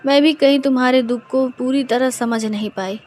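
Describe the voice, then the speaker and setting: A woman's voice: sits high, fairly even intonation, moderate pace, darkish tone, faint music behind, close to an everyday voice. A woman playing the caring, worried wife of a poor villager in a story, speaking with concern. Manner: concerned, consoling.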